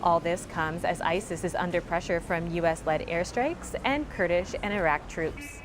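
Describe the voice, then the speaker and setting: A young female news anchor with a fairly low voice delivers the headlines in a crisp, measured newsreader cadence. She is in a campus newsroom studio, and soft music sits faintly under her voice. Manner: crisp, even newscaster delivery. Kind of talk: scripted news reading.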